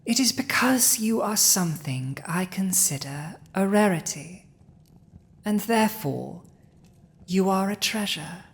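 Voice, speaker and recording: A woman playing a villainous dragon ruler in an intimate audio roleplay, speaking in a deliberate, dominant, teasing manner. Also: medium pitch, expressive. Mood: tender, possessive.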